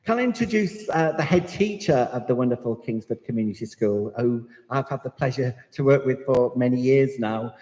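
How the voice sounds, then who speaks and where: A man's voice: medium pitch, animated, normal speed, few pauses, mellow. A man hosting a formal school celebration, giving effusive introductions to the speakers. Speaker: event host. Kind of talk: formal event hosting speech. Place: large hall. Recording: hall reverb.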